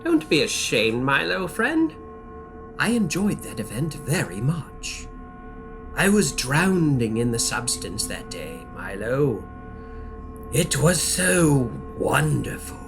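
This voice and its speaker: A man playing a malevolent, calm knight in an audio drama, taunting and hungry, with a theatrical, drawn-out, mockingly friendly delivery. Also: mid-range voice, creaky, over music, full character voice. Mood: mocking glee. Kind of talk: audio drama villain monologue.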